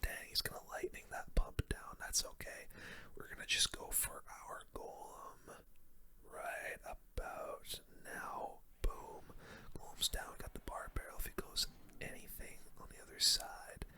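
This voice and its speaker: A gamer giving ASMR game commentary in a breathy, unvoiced whisper, with casual slang. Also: unhurried, pushed and bright. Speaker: gaming video creator.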